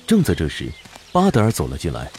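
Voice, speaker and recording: A man's voice: low-pitched, huge pitch swings, a little fast, dark tone, a little hiss or hum. A man giving scripted narration as storyteller of a fantasy radio drama.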